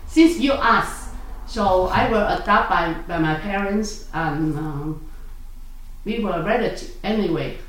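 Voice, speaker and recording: A woman, answering interview questions in halting speech full of fillers and self-corrections. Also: medium pitch. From South Vietnam. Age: 60s. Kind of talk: oral history interview. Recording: echoey room, distant mic, faint noise.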